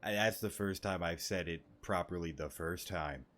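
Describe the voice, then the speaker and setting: A man's voice: sits low, normal speed, fairly bright tone, slight background noise. A man doing casual, slangy, rambling commentary over a video game and reading dialogue options aloud, game music behind. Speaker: gaming streamer.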